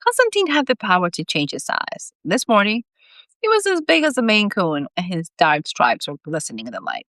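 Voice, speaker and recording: Animated woman reading a story aloud. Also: mid-range voice, moderate pace, no echo. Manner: animated, dramatic. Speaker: author reading own book aloud, host. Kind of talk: expressive storybook reading on a live stream.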